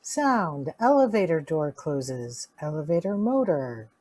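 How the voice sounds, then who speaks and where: A woman's voice: deep voice, very animated, slow pace, dark tone. A woman reading sound effect cues aloud in a live radio drama, announcing each cue plainly. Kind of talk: reading stage directions aloud.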